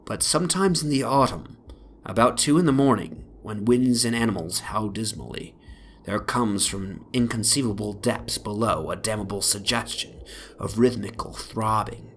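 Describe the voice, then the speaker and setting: A man giving a solemn, brooding dramatic reading of a first-person horror story, in literary phrasing that often sinks into a hush. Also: lowish voice, moderate pace, a little hiss or hum. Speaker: narrator, dramatic reader. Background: ambient soundscape.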